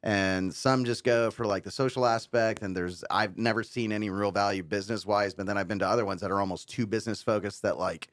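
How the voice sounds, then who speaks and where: A man's voice: lowish voice, steady tone, a little fast, few pauses, a bit bright. Informal male podcast co-host. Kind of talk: casual panel discussion.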